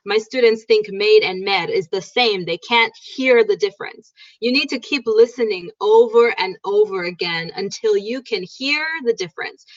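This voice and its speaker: Woman, teacher, relaxed and explanatory, medium pitch, dull lo-fi recording. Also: moderate pace, few pauses. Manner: chatty, explains with examples. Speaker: English teacher. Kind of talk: casual educational chat. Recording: dull lo-fi sound.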